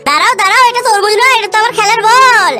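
Woman as little cartoon boy, earnest helper, extremely high childlike voice, music clearly behind. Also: fast talker. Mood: protesting.

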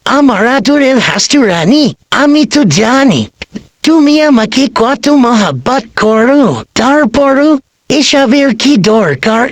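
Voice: high-pitched, lively intonation, slow, no room sound. A performer voicing a doting, gushing elderly male bridegroom in a comic cartoon, syrupy in manner.

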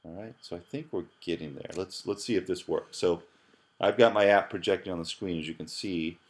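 A man with a very deep voice talks through a programming screencast. He explains casually as he goes, thinking aloud with fillers and restarting words. He sounds middle-aged and relaxed, like a teacher at his desk. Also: a little fast. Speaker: professor teaching app programming. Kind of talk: screencast tutorial narration.

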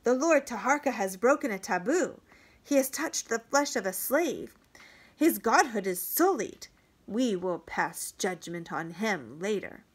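A woman voicing a stern male priest who makes loud, accusing pronouncements. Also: mid-range voice, expressive, measured pace, breathy edge.

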